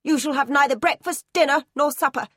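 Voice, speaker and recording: A woman's voice: high voice, fairly quick, fairly bright tone, dry recording, studio quality, pitched above the performer's own voice. Woman voicing a furious strict headmistress. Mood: stern scolding.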